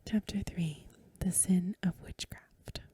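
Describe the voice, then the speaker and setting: A woman reads a story in a gentle ASMR whisper. Her voice is very soft, dark and extremely breathy. She takes her time, sounding hushed and close to the mic in a dry space. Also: on the low side, fairly even intonation, slow, no echo. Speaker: ASMR reader and host.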